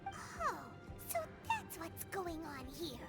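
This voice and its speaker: A woman voicing a tiny, plucky, childlike fairy companion in a video game, with a squeaky, cartoonish voice. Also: huge pitch swings, takes it slowly, over loud music, heavily acted. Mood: puzzled. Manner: exclamatory, refers to self by name.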